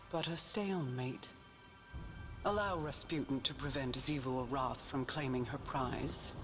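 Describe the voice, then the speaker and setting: A man's voice: medium pitch, noisy background, lightly acted. A man playing a grave, commanding figure in a video game, speaking formally and portentously. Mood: wary, firm. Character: grave, commanding bearer of grim tidings. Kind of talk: scripted video game dialogue.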